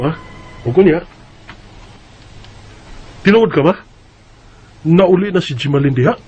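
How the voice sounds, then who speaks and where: A man's voice: mid-range voice, a little mellow, lo-fi sound, close to an everyday voice. An actor playing a betrayed, aggrieved working father in a Bisaya radio drama, earnest and emotive, with a Filipino accent. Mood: sorrowful, then shocked.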